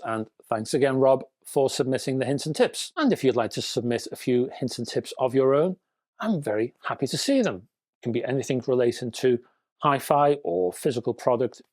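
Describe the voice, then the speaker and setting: A man hosting a news show for hobbyists, presenting to viewers in an emphatic, opinionated way with deliberate phrasing. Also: medium pitch, a little mellow, dry recording, studio quality. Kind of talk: scripted presenting to viewers with asides.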